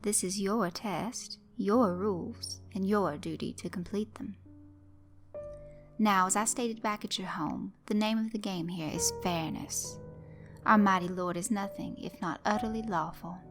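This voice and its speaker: A woman's voice: medium pitch, natural voice. A woman doing a courtly, sinister games master. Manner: precise, courtly, ominously polite. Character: smooth, formal, menacing male master of games.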